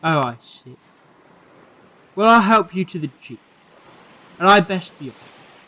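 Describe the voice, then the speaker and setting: A man's voice: high-pitched, lively intonation, measured pace, pushed and bright, exaggerated voice, rough recording. A man acting in a fan audio drama as a breezy, kindly alien time traveller with a chatty, playful manner. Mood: breezy, curious.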